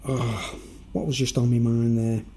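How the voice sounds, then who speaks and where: A man with a Merseyside accent talks off the cuff to his viewers. His voice is middling to slightly high and soft-edged, with little brightness. He wanders from point to point in an informal way, often checking that listeners follow. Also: level tone, a little slow. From Wirral, north-west England. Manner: informal, digressive, seeks agreement with tag questions. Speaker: vlogger. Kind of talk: rambling, unscripted monologue to viewers.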